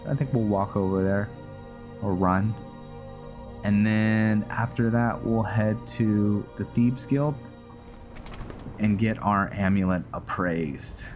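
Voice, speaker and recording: A man giving casual, rambling gameplay commentary, halting often as he thinks aloud. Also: on the low side, a little mellow. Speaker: gaming video host. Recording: music behind the voice. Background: game music.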